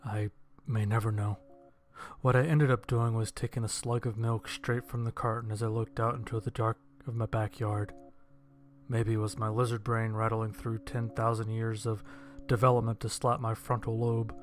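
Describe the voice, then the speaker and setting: A man's voice: lowish voice, little pitch movement, normal speed, a little hiss or hum. A man reading a first-person horror story as a dramatic audio narrator. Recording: music bed behind the voice.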